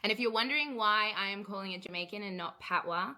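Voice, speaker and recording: An Australian woman chatting warmly to her viewers as a vlogger. Her voice is bright and clear, set at an ordinary pitch. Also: quick pace. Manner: friendly, chatty, addressing viewers. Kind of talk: casual chat.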